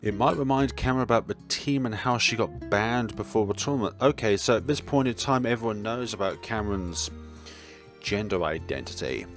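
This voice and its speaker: Male gaming narrator, lowish and very varied in pitch, reading story text with offhand asides over soft music. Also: lively intonation, a little fast. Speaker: gaming video maker reading a visual novel aloud. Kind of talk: let's-play read-aloud with casual side remarks. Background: game music.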